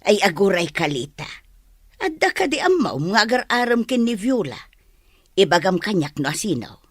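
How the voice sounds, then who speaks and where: A woman's voice: medium pitch, very animated, normal speed, husky, no room sound, studio quality. A woman acting a stern middle-aged mother who grumbles emphatically and disapprovingly in an Ilocano radio comedy drama. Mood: suspicious. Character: stern, disapproving village mother guarding her daughter.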